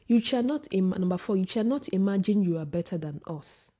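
A woman with a husky voice giving an opinionated vlog monologue, often restarting sentences and rising into emphatic outbursts. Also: medium pitch, animated, brisk, mellow. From Sweden. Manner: many restarts and filler words. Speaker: vlogger. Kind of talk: casual opinion monologue to camera.

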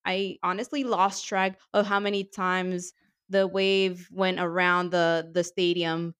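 A woman co-hosting a podcast in casual chat, enthusiastic and laughing often, her voice a little raspy from yelling. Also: on the low side, level tone, a little slow. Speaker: podcast co-host. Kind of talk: casual chat about women's soccer.

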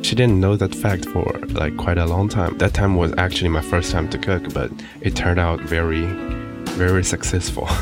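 Deep-voiced young man over guitar. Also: fairly even intonation, moderate pace. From Sichuan, China. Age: young adult. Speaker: interview guest. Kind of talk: casual personal storytelling. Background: prominent plucked guitar music.

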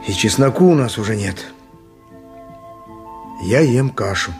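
A man's voice: fairly low pitch, animated, measured pace, a little mellow, soft background music. A man acting a poor, harried, submissive donkey driver of ancient Greece in a radio play, speaking dialogue and his own narration. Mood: weary, resigned.

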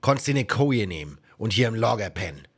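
A man voicing a gruff, bossy pirate captain in an audiobook, barking orders in colloquial slangy speech. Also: fairly low pitch, big pitch swings, brisk, full character voice. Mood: grudging, bossy. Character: gruff, bossy one-eyed male pirate captain, middle-aged.

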